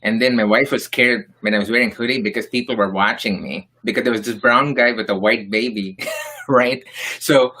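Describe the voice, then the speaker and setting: Bright-voiced man from India, earnest panelist. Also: on the low side, poor audio. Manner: earnest, explains with examples and asides. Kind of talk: roundtable discussion.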